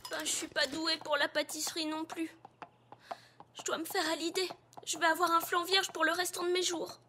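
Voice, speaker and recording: A woman's voice: extremely high pitch, level tone, normal speed, noisy background. A woman voicing an impatient, dramatic young filly in a French children's cartoon dub, pleading and exclaiming as she goes. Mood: despairing. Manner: pleading, exclamatory, repeats words. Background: music.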